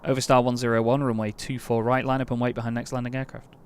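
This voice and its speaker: A man's voice: medium pitch, flat delivery, quick pace, darkish tone, clean tone. A man playing an air traffic control game, chatting casually while reading out call signs and getting exasperated when commands fail. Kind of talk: casual gameplay commentary with radio calls.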